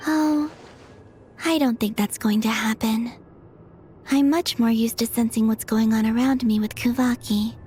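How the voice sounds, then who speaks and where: An actress voicing a gentle, ethereal young woman in a video game cutscene, speaking softly, calmly and wistfully. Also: fairly high pitch, unhurried, a little hiss or hum, natural voice. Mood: dreamy. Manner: soft, calm and wistful.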